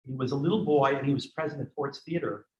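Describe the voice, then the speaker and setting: A man's voice: mid-range voice, fast talker. A man born in Greece giving a history lecture and answering audience questions in a thoughtful, digressive way with many restarts. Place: community center lecture room. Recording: echoey room, dull lo-fi sound.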